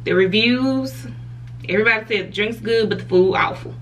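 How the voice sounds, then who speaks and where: A woman's voice: on the high side, normal speed, distant mic, a little hiss or hum, rough recording. A young woman, talking frankly to camera in a hotel room, laughing often. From Southern US. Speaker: vlogger. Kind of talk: casual chat to camera.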